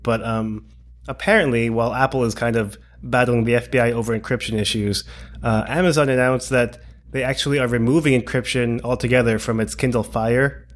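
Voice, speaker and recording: A man with an average-pitched voice talks casually as a podcast co-host. He rambles a little, hedging and feeling his way through each thought in an informal, conversational style. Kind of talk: casual tech podcast chat.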